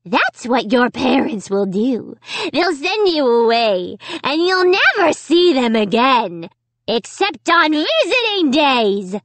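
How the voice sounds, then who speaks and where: Woman voicing a slow, menacing, raspy living dummy. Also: high-pitched, big pitch swings, fairly bright tone, dry recording, voice pushed up, full character voice. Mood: gloating menace. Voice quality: harsh, raspy, whispery. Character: sinister living ventriloquist dummy, male, cruel and commanding. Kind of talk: dramatized children's horror audiobook.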